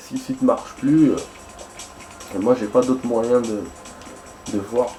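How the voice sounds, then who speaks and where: A man's voice: medium pitch, steady tone, takes it slowly, mellow, faint music behind. A young man chatting casually while playing a game, rambling and hesitant, with many fillers and restarts. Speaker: gaming streamer.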